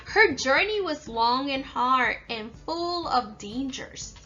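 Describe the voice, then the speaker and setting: A woman's voice: sits high, animated, slow pace, pushed and bright, lo-fi sound. A woman reading a children's story aloud and explaining it like a teacher.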